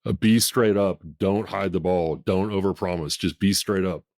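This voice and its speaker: Brief: deep-voiced male podcast host in his fifties, very low, blunt and commanding. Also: fairly even intonation, normal speed, a little mellow. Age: 50s. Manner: blunt, emphatic, self-assured. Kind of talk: casual podcast conversation.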